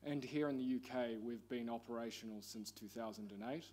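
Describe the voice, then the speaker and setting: A middle-aged man with a New Zealand accent addresses a conference panel. He speaks in a measured, formal way at a moderate pitch. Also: darkish tone. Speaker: panel speaker, investment executive. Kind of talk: conference presentation.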